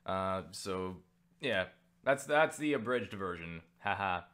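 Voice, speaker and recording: A man with a somewhat low voice works through viewer questions in a loose, offhand vlog. He rambles and jokes, swears casually, and makes fun of himself along the way. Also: expressive, takes it slowly, fairly bright tone, clean tone. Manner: rambling, joking and profane; self-deprecating. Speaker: YouTuber answering viewer questions.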